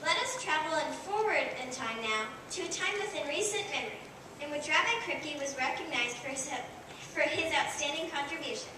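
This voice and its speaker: A woman's voice: high-pitched, expressive, a little fast. A female student performer giving scripted narration on stage in a school play, recorded from far off in a noisy, echoey hall. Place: large echoey room, live stage performance.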